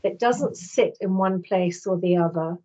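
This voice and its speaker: A woman's voice: on the low side, measured pace, dark tone. Articulate middle-aged woman host. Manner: articulate, long flowing sentences. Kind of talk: interview host questions. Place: home room. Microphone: video call, laptop mic. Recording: slightly dull video call audio.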